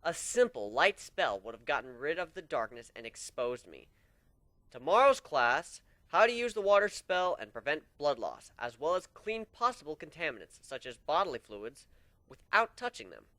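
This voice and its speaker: A man voicing a smug, unhinged male griffin who lectures his students in a boastful, lively way. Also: on the high side, moderate pace, no room sound. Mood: gleeful boasting. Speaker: instructor.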